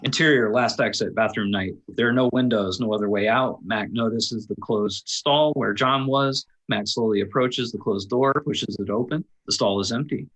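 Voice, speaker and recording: A man's voice: lowish voice, moderate pace, hardly any pauses, a bit bright, low-quality recording. A man reading stage directions aloud as scripted narration, now and then stumbling over a word and correcting himself. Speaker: stage directions reader at a table read.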